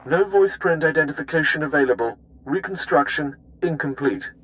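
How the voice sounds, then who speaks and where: Man acting detached data analyst, fairly high voice, clipped, deadpan, computer-like, sci-fi game. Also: moderate pace, close to an everyday voice, rough recording. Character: emotionless male intelligence analyst reciting data like a computer.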